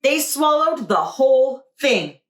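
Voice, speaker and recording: A woman reading a storybook voices an earnest, excitable little girl. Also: high-pitched, slow, reverberant, voice pushed up, exaggerated voice.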